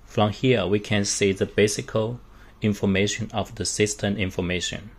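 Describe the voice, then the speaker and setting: A man giving an unscripted product walkthrough in halting, short phrases with non-native English grammar. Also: on the low side, flat delivery. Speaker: product demonstrator.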